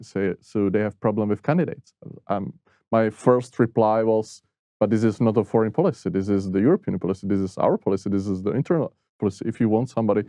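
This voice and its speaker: Hesitant male interviewer, mellow low voice. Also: clean tone, dry recording. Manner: hesitant, many fillers, careful phrasing. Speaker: podcast interviewer, researcher. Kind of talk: interview questions.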